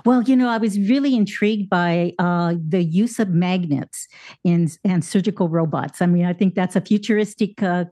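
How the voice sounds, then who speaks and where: A woman hosts a health interview show, asking her guest questions. Her voice is mellow and soft-toned at a middle pitch. She pauses often with fillers as she talks. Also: no echo. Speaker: podcast host. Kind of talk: scripted intro, then interview questions.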